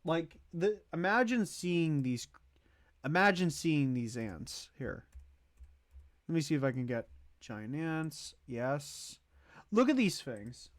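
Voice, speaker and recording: A man giving casual livestream commentary as host, thinking aloud in a halting way as he ranks things. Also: on the high side, sing-song, dry recording, clean studio sound. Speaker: streamer hosting a movie tier list.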